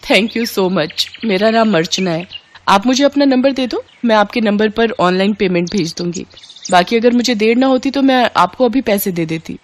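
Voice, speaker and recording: A woman's voice: medium pitch, normal speed, natural voice. A woman voicing an earnest, affectionate young woman from a rich family in a Hindi moral story, with an emphatic, polite manner. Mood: grateful.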